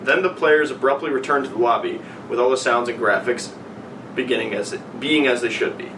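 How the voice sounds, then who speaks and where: A man's voice: medium pitch, brisk. A young man co-hosting a podcast, urging others along between casual banter and reading a story. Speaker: podcast co-host. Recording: echoey noisy room, distant mic.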